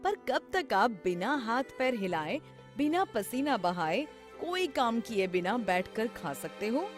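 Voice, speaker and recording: A woman's voice: on the high side, very animated, slow pace. A woman narrating a scripted children's story. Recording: music behind the voice. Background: background music.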